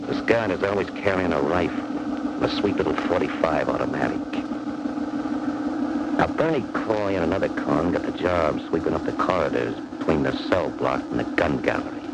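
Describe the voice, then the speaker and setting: An actor playing a tough, bossy convict with slangy, ungrammatical talk in a 1940s radio crime drama, on an old recording. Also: male voice, a bass voice, heavy background noise. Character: tough, bossy male convict plotting prison break.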